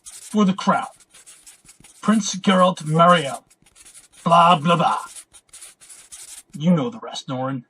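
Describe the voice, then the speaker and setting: Male actor as arrogant royal, pompous and wrathful, theatrical audio drama style, rough-edged higher voice. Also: expressive, slow pace, long pauses, sharp tone, full character voice. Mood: scornful. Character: arrogant, wrathful, imperious prince dictating letters.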